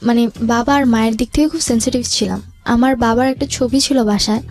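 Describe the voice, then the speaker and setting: A woman's voice: fairly high pitch, steady tone, fairly quick, a little mellow, no room sound. A young woman with a Bangladeshi accent telling her life story in an interview, hesitantly, mixing in English words. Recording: music bed behind the voice. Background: music.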